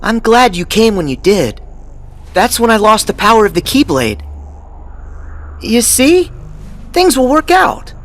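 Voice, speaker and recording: A man's voice: high-pitched, big pitch swings, unhurried, pauses often, fairly bright tone, full character voice. An actor playing a cheerful, earnest teenage boy hero in video game cutscenes, talking in an upbeat, eager way. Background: faint game music.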